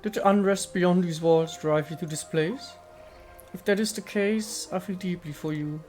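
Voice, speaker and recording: Male reader as ancient statue goddess: serene, aloof, formal and poetic game dialogue, raised pitch, music underneath. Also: normal speed, a little mellow, lightly acted. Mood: gracious, wistful. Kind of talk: dramatic reading of video game dialogue.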